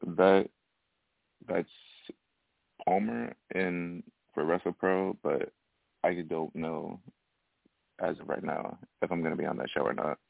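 Young man, very deep, gravelly, dry tinny phone line, hesitant replies. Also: fairly even intonation, slow, long pauses, dry recording. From Alaska. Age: young adult. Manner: soft-spoken, hesitant, laughs easily. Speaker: wrestling guest. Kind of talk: casual interview over phone.

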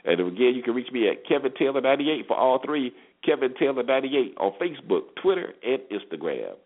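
A man hosting a sports show, giving conversational commentary and recaps with pauses and repeated words. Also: mid-range voice, sing-song. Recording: tinny narrow-band phone-like sound.

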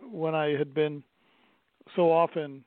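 A middle-aged man, a theater owner speaking as a radio interview guest, pausing often with fillers and restarting his sentences. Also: fairly high pitch, level tone, dry recording. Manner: halting, many fillers and restarts. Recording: dry, tinny phone-like line.

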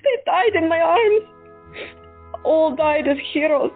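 A woman's voice: extremely high pitch, lively intonation, takes it slowly, exaggerated voice. A woman voicing a grieving, devoted female doctor in an audio drama, speaking in a trembling, tearful way. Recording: thin phone-like sound with faint music.

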